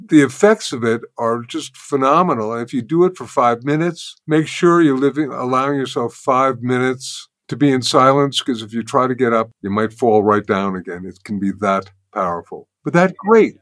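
An older man, a guest teacher, explaining practices in a halting way with frequent restarts. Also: medium pitch, fairly bright tone, dry recording, clean studio sound. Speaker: guest, sound healing teacher. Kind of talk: conversational explanation.

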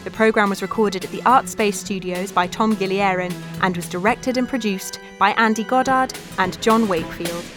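A woman's voice: medium pitch, moderate pace, few pauses. A woman reading scripted credits as an announcer. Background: prominent music.

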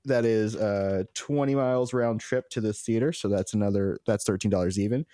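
A man co-hosting a film podcast, joking and animated, laughing often. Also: lowish voice, a little fast, dry recording, studio quality. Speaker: podcast co-host. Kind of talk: casual chat.

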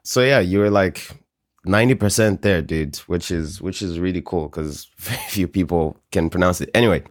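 A man's voice: sits low, moderate pace, no room sound, clean studio sound. A man from Zambia, a native Bemba speaker, chatting casually and candidly as a singer-songwriter being interviewed.

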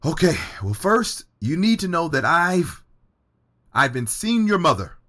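A man playing an earnest, self-assured senator in an audio drama, smitten and quick to defend himself. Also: fairly high pitch, sing-song, pushed and bright, exaggerated voice. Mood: candid, defensive. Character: smitten, self-assured male senator fighting for common people.

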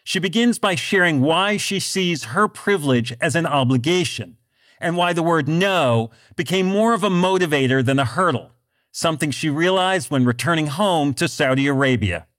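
A man hosting a business podcast, reading scripted narration in a measured, deliberate way. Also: fairly high pitch, animated, fairly bright tone. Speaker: podcast host.

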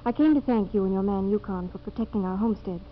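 A woman plays a proper, sincere young homesteader in a 1950s radio play. Her pitch is average and her diction polite. The recording is dull, with a faint hiss. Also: fast, close to an everyday voice. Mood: earnest, grateful. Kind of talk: 1950s radio drama acting.

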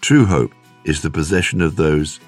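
A man reading a scripted devotional in a measured, clearly phrased way. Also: rumbling low, darkish tone, soft background music. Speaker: devotional reader.

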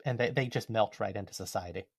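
A man's voice: lowish voice, fast, gravelly, slightly rough, no room sound, clean studio sound. A man with an American accent talking casually as a podcast co-host, telling stories in a joking, conversational way. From United States.

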